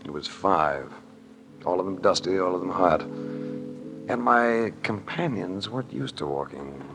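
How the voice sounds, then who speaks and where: An actor playing a wry, hard-boiled private eye with an American accent, dry and sardonic, in radio drama acting. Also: male voice, low-pitched, animated, unhurried, gravelly, music in the background, heavily acted. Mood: dry narration. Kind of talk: 1950s radio drama acting with first-person narration. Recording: very noisy old radio recording.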